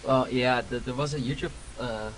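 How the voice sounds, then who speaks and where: A man from the Netherlands with a Dutch accent, calling into a stream over voice chat and explaining haltingly with many fillers. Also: medium pitch, steady tone, slight background noise, poor audio. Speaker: fan guest on voice chat. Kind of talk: casual voice-chat call-in.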